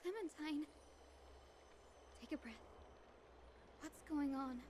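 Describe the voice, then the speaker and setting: A woman's voice: high voice, takes it slowly, background noise. A woman playing a sweet, nurturing young woman, courteous and earnest, in a video game. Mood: worried. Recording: game music playing behind the voice.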